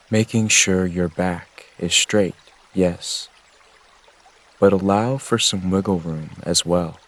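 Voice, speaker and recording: A man with a low voice leads a guided meditation. He speaks very slowly, in a gentle and soothing way, giving measured instructions.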